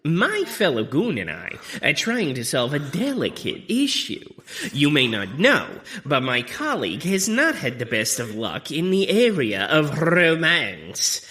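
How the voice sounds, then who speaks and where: A wordy goon, slow and bright, mock polite, swoopy delivery, comedy audio play. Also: mid-range voice, huge pitch swings, exaggerated voice. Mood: fussy. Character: chatty, mock-genteel gang henchman torturer. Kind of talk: comic audio drama acting.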